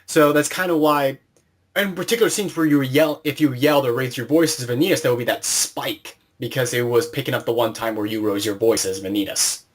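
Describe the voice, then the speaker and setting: A man's voice: mid-range voice, fairly even intonation, a little fast. A man conducting a casual interview, explaining things informally as the interviewer. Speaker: interviewer and series creator.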